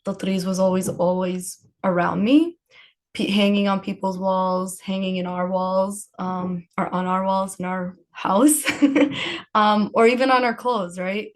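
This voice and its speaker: A woman's voice: medium pitch, steady tone, measured pace. Casual, chatty woman from Palestine. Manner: informal, frequent laughter. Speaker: podcast guest, embroiderer. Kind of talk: casual conversational storytelling.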